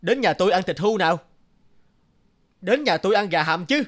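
An actor voicing pushy village men in a cartoon who insist on getting their way. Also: male voice, high-pitched, lively intonation, fairly quick, a bit bright. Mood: insistent. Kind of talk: cartoon voice acting. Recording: music behind voice.

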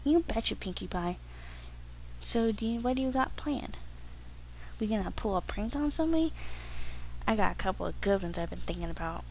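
Casting: woman playing casual, brash young female flying pony, slangy, medium pitch, storybook reading, faint noise. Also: lively intonation, moderate pace, pauses often, darkish tone, close to an everyday voice, low-quality recording. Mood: cocky, eager. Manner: offhand, slangy.

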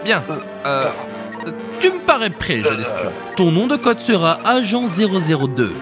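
An excitable spy trainer played by a man in a comic audio game. His high voice swoops wildly over clear music. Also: a little slow, exaggerated voice. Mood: coaching. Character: excitable male spy trainer, cheerfully patronising. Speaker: game master. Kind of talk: radio comedy sci-fi acting.

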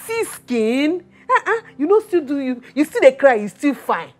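An actress playing a blunt, loyal friend with a Nigerian accent, scolding and reassuring with emphasis in film dialogue. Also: female voice, mid-range voice, sing-song, normal speed, darkish tone, slightly rough. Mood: emphatic, reassuring. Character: blunt, loyal female friend consoling a heartbroken woman.

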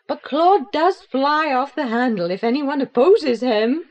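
Level-headed female companion, high lively voice, explanatory, audio drama. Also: measured pace, muffled recording. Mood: concerned. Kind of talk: audio play acting.

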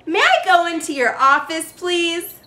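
Woman as an excited little storybook girl, high-pitched. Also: unhurried, slight background noise, exaggerated voice. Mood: eager. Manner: eager, bright-eyed, polite. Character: excited, sweet seven-year-old birthday girl. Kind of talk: children's storybook read-aloud.

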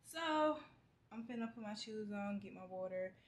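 A woman's voice: medium pitch, normal speed, a little hiss or hum. A young woman in her twenties vlogging from her apartment, chatting casually to her phone camera with easygoing slang. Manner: relaxed, chatty, talks straight to camera with slang. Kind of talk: casual vlog chat. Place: small apartment kitchen indoors.

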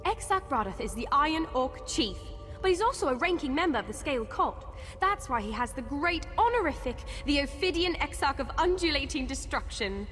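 A woman voicing a spirited young female adventurer in a video game, chatty, earnest and wryly expressive. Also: high voice, fairly quick, big-room sound, soft background music. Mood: admiring, chatty. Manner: expressive, wry. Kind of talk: video game character dialogue.

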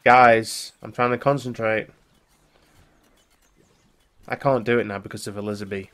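A man's voice: lowish voice, fairly even intonation, normal speed, a bit bright, smooth. Stuffy-nosed man chatting over a game. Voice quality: stuffy, congested. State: has a cold, run down. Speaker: gaming video host. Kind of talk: casual co-op gameplay chat.

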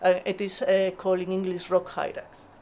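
A woman speaking as a podcast guest in casual interview style, telling stories haltingly and often repeating words. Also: fairly low pitch, completely flat delivery, darkish tone, a little hiss or hum. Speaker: podcast guest, marine scientist.

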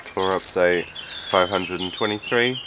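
A young man in a band giving relaxed, wry tour updates to a handheld camera. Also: sits low, a little slow, background noise. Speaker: band member. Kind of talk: casual video diary. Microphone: wide-angle handheld camera. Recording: music playing over the voice.

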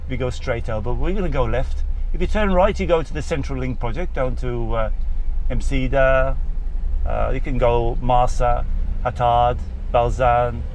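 An English man speaks at a middling pitch with a slightly croaky voice. He rambles along casually and jokily as a driving vlogger, with clear road and vehicle noise around him. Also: steady tone, measured pace, darkish tone, rough recording. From England. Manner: chatty, rambling, jokey. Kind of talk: casual running commentary while driving. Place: outdoors on a moving vehicle.

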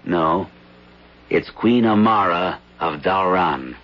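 An actor in a 1970s radio drama playing a calm government investigator who explains things in a measured way. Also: male voice, sits low, full character voice. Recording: dull 1970s radio broadcast.

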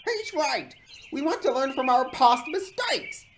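Woman acting pleading, high-pitched little diesel engine. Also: animated, normal speed, vocal fry, noisy background. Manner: earnest, pleading. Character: timid, pleading male diesel engine seeking a fresh start.

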